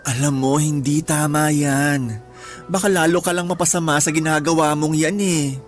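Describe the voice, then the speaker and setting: An actress with a Filipino accent playing a caring, frank young cousin in an earnest Tagalog radio drama. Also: female voice, sits low, steady tone, moderate pace, soft background music, natural voice. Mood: pleading. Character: caring, frank female cousin, scolding but protective.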